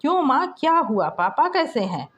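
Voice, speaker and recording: A woman narrator plays a caring young man in an audio story, an obedient son full of concern. The voice is earnest and rather high, with animated intonation. The sound is slightly muffled. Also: moderate pace. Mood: worried.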